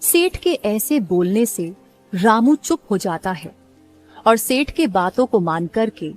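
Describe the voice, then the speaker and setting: A woman's voice: mid-range voice, lively intonation, slow, soft background music. A woman reading scripted narration for a story as its narrator.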